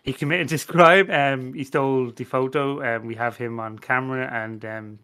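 A man acts out a bumbling junior detective in an improvised comedy. He stammers and hesitates apologetically in a bright, sharp voice of ordinary pitch. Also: fairly even intonation, moderate pace, clear, resonant tone, natural voice. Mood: floundering. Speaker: podcast panellist. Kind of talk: unscripted comedy detective radio play.

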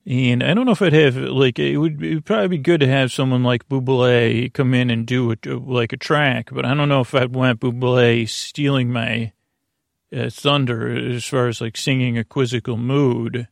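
A man with a mid-pitched voice full of creak hosts a bedtime podcast. He meanders through his monologue, stopping, restarting and drifting off the point. The sound is dry, with no room around it. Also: steady tone, no room sound. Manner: meandering, halting, circles back and trails off. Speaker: podcast host. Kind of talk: rambling sleep podcast intro monologue.

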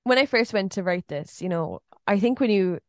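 A woman co-hosting a podcast with quick, giggly, chatty talk. Her mid-range voice sits a touch deeper than usual after a night out. She is recorded close on a podcast mic in a dry room. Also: animated, darkish tone, no room sound. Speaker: podcast co-host. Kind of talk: casual chat. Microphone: podcast microphone.

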